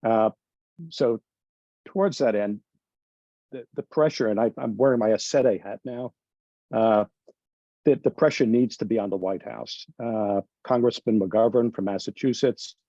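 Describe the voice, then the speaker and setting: Man, low dark voice, direct activist talk, dull lo-fi dry call audio. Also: measured pace, long pauses, dry recording. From United States. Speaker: webinar panelist, activist. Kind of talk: panel discussion, political commentary.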